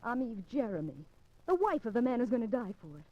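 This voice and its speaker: An American actress playing a secretive, lovestruck wife in a 1950s radio drama, speaking breathlessly and melodramatically. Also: female voice, on the high side, sing-song, fast talker. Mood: bitter. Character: intense, secretive wife of a condemned man, in love. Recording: dull, noisy 1950s radio recording.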